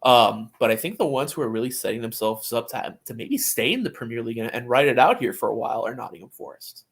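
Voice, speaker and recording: A man co-hosting a sports podcast in casual chat, talking haltingly with repeated words and false starts. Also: medium pitch, expressive, slightly rough.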